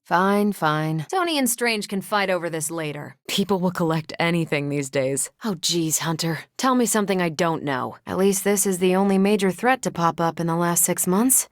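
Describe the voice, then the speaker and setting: An American actress playing a confident, wry superhero and former military pilot in a video game, with a casual, teasing way of talking. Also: female voice, lowish voice, normal speed, hardly any pauses, no room sound, studio quality, close to an everyday voice. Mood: dry, conversational. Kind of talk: video game character dialogue.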